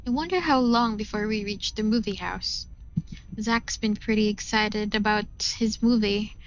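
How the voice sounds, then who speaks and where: A woman's voice: medium pitch, a little slow, muffled recording, slight background noise. A woman reading first-person visual novel narration in a dramatic storytelling style. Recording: music behind the voice.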